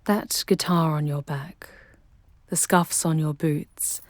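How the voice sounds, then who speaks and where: A woman playing a charming, protective female demon guide in an intimate ASMR roleplay, with soft breathy delivery and formal, measured diction. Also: low-pitched, fairly even intonation, dry recording. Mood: hushed, teasing. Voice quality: soft, breathy, often whispery.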